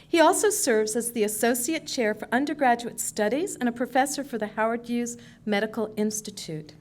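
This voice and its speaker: A woman introducing a speaker at an event, reading steadily and trailing off softer at phrase ends. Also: a little high, moderate pace. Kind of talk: read introduction. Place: lecture hall.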